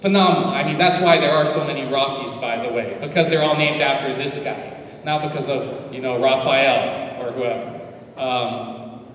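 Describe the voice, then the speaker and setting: An American-born man on a forum panel, telling personal stories to an audience in a lively, direct way. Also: mid-range voice, moderate pace, a little hiss or hum. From United States. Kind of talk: storytelling talk to an audience. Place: large hall at a community forum. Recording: echoey hall from far off.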